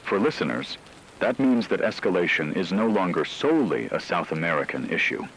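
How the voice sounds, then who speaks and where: A man playing a grave radio news anchor, delivering a scripted emergency war broadcast in a measured, solemn, analytical manner. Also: deep voice, very animated, a bit bright, a little hiss or hum, full character voice, poor audio. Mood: grave, urgent.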